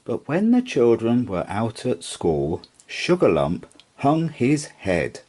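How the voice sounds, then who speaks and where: Slow, very expressive male storybook reader. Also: mid-range voice. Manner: rhythmic, singsong verse reading. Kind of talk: expressive children's read-aloud narration.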